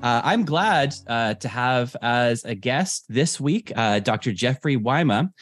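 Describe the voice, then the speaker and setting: A man's voice: mid-range voice, animated, a little slow, faint music behind. A man hosting a preaching podcast, reading a plain and direct event announcement and then interviewing a guest, with frequent fillers. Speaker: podcast host.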